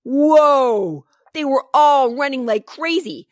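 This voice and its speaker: Young boy storyteller played by a woman narrator, excitable, suspenseful, very animated, storybook reading. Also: high-pitched, takes it slowly, no echo, studio quality, pitched above the performer's own voice. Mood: thrilled. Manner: dramatic, emphatic, building suspense. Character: excitable young boy breathlessly telling a dragon tale.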